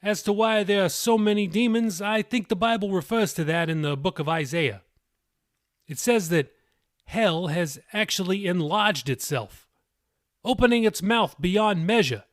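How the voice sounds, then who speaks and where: A man's voice: sits high, a little slow, dry recording, studio quality. A man voicing an earnest male pastor in an audiobook, reasoning aloud and teaching from scripture. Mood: earnest, thoughtful.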